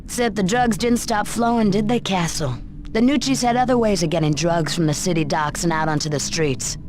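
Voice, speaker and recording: A woman's voice: lowish voice, fairly quick, background noise, natural voice. An actress playing a composed female interrogator in a video game, pointed, corrective and matter-of-fact. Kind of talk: video game cutscene acting.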